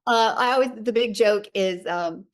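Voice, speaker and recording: A woman's voice: medium pitch, normal speed. A woman from Tennessee with a Southern accent, telling her story as a podcast guest in a chatty, friendly way. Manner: chatty, warm storytelling with fillers. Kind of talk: casual interview chat.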